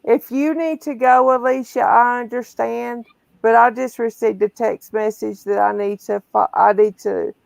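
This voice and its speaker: Lady hosting a livestream, gravelly voice, talks on and on with lots of restarts. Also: a little high, steady tone, normal speed, a little mellow, dry recording. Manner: chatty, repeats and restarts phrases. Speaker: livestream host. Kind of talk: casual livestream chat.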